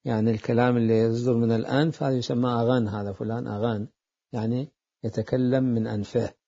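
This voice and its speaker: Male lecturer, mid-pitched, methodical and repetitive delivery; muffled lo-fi audio. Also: little pitch movement, creaky, mellow, slightly rough. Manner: deliberate, repeats phrases to explain. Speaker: seminary jurisprudence teacher. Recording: dull lo-fi lecture recording.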